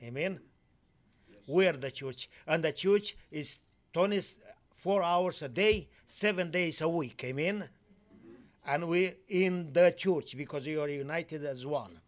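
A Romanian-born man speaking in halting, broken English with many fillers, giving a sermon testimony in a church. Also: on the high side. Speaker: guest preacher.

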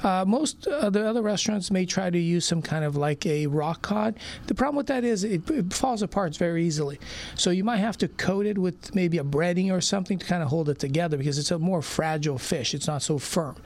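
A middle-aged man from Brazil talks casually as a radio guest, filling his talk with "you know." His voice sits high for a man and has a gravelly edge. He sounds loose and chatty. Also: a little fast, darkish tone. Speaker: restaurant owner guest.

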